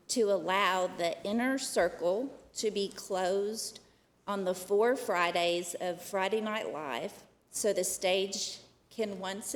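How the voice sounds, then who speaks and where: A soft-spoken woman gives a formal, prepared request to a county committee. Her voice sits mid-range and carries a long hall reverb with faint room noise. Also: mid-range voice. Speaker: executive director of a downtown program.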